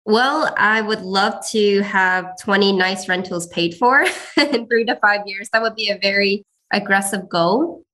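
A woman's voice: medium pitch, measured pace, sharp tone. A young woman whose first language is Cantonese, answering interview questions modestly and laughing easily. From China. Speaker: interview guest.

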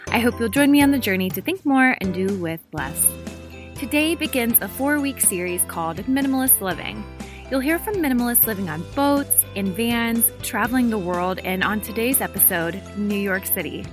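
A woman's voice: on the high side, lively intonation, normal speed, music in the background. A woman hosting a parenting podcast, reading her intro, then interviewing a guest over a video call. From Ohio, USA. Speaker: podcast host.

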